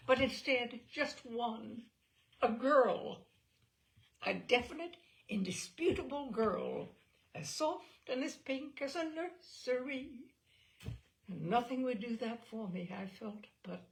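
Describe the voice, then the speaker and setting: A woman giving a theatrical, expressive reading of a comic personal essay, with emphatic phrasing. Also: fairly high pitch, gravelly, poor audio. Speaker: reader of literary selections.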